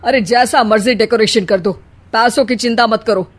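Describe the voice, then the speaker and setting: A woman's voice: a little high, steady tone, normal speed, close to an everyday voice. A woman voicing a frustrated middle-aged father, bitter and scolding, with sarcasm.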